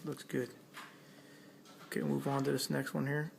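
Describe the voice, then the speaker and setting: Guy doing a casual repair how-to, monotone, lots of vocal fry, talks while working, slight background noise. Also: mid-range voice, moderate pace. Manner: plainspoken, step-by-step, talking while working. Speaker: electronics hobbyist demonstrating a repair. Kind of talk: casual hands-on tutorial narration.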